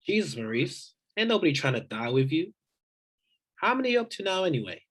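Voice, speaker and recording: A man plays an irritable young guy in his early twenties at a drama table read. His voice is husky and very lively in pitch, with a sarcastic bite. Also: a little fast. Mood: annoyed.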